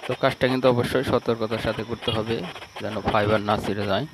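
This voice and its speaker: A man's voice: lowish voice, fairly even intonation, fast, noisy background. A man with a Bangladeshi accent narrating a step-by-step repair tutorial in a plain, instructional way. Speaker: electronics repair channel host.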